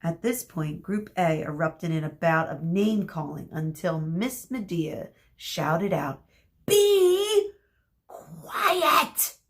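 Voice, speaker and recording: A woman narrating a children's story aloud like a teacher, expressive, dramatic and deliberate. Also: sits low. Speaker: teacher reading aloud to students.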